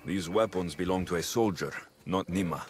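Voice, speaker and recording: A man's voice: low voice, moderate pace, faint music behind, close to an everyday voice. An actor voicing a brash young male warrior in a video game, blunt and cocky. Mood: watchful, terse.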